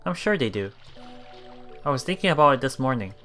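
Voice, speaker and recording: A woman casually reading and reacting to a visual novel as a let's play streamer, giggly, excitable and expressive. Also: very deep voice, a little fast, music in the background.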